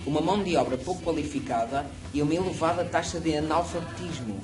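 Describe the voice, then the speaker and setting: A man reading a school history presentation aloud, steady and prepared. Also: a little high, quick pace, low-quality recording. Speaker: student narrator. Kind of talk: school project narration read aloud. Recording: music playing under the voice. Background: music.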